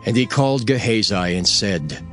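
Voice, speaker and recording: Scripture narrator: man, lowish pitch, solemn and measured yet lively, light music underneath.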